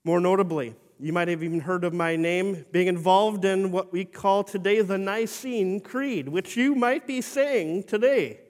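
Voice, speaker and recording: A man playing the saint as an elderly, humble but stern bishop, telling his own story slowly and formally in a church monologue. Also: sits high, big-room sound. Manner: slow, solemn storytelling with formal phrasing. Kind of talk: first-person dramatic monologue.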